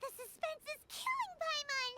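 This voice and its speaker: A woman voicing a small, excitable, childlike fairy in an anime game, with a squeaky, cute and dramatic delivery. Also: moderate pace. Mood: dramatic, excited. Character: small excitable childlike female fairy companion, speaks in third person. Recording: loud game music behind.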